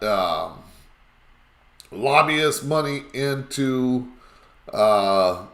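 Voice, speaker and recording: A man with a raspy voice hosting a live call-in talk show, talking in a rambling, emphatic way. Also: mid-range voice, roomy sound.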